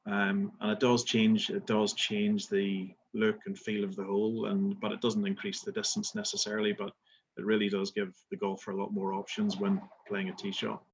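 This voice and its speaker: A man's voice: on the low side, moderate pace, creaky, highs cut off, a little hiss or hum. A middle-aged man from Belfast with a Belfast accent, a golf resort manager answering interview questions with many fillers and restarts. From Northern Ireland.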